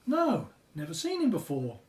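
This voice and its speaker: A woman's voice: fairly low pitch, wildly swooping pitch, moderate pace, darkish tone. A woman playing a focused, polite archaeologist in a radio drama, heard echoey and off mic. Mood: focused, brisk. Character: focused, polite archaeologist named Doctor Philip Branscombe. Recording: echoey, distant from mic, faint noise.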